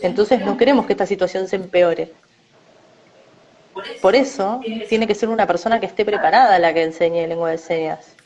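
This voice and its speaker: A woman with a Rioplatense Spanish accent giving measured interview answers, often tagging her sentences with a questioning "no?". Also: mid-range voice, darkish tone, highs cut off. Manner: measured, often adds "no?" tags.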